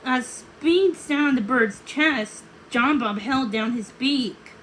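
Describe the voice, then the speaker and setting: A woman reading a storybook aloud in an expressive narrating style. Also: sits high, takes it slowly, slight background noise, low-quality recording. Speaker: storybook reader.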